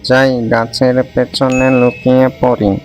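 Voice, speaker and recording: Wicked man-eating witch for horror cartoon, played by a man: unhurried, scheming, menacing, over music. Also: mid-range voice, monotone, natural voice, poor audio. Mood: menacing, sly. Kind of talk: Bengali horror cartoon voice acting.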